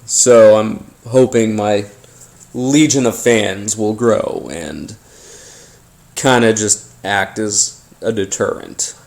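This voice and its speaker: A man hosting a paranormal podcast, telling his story informally in a halting, rambling, conspiratorial way. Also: lowish voice, vocal fry, a little mellow. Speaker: podcast host. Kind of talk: informal first-person storytelling monologue, paranormal audio drama.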